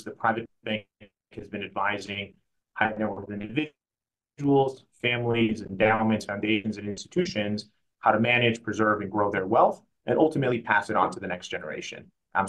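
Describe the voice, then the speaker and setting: Man, fast talker, conversational, self-correcting, interview guest. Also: fairly low pitch, fairly bright tone, low-quality recording. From Mexico. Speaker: guest, private client advisor.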